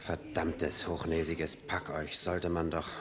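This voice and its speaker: A man's voice: a bass voice, little pitch movement, slow. A man playing a proud, ambitious, easily wounded young poet in a radio drama. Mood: bitter, muttering.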